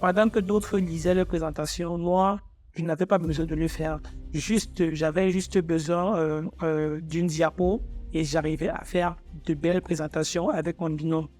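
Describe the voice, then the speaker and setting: A man's voice: fairly high pitch, fairly even intonation, normal speed, darkish tone, soft background music. A man in his twenties from Africa, a native English speaker, talking informally to viewers in a personal student vlog. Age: 20s. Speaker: architecture student presenting his own channel.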